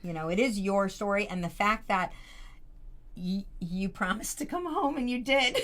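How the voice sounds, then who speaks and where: A woman's voice: mid-range voice, lively intonation, moderate pace, fairly bright tone. A woman hosting an interview podcast, encouraging her guest with frequent affirmations. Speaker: podcast host. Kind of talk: casual interview chat.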